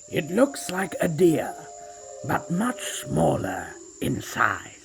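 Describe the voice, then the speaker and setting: A proud, ancient tiger, played by a man, tells a storybook tale in a gravelly, unhurried voice. His delivery swoops up and down with theatrical relish. Loud music plays behind him throughout. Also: medium pitch, slight background noise. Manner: theatrical and self-satisfied. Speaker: narrator. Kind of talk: scripted storybook narration. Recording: narration over loud music.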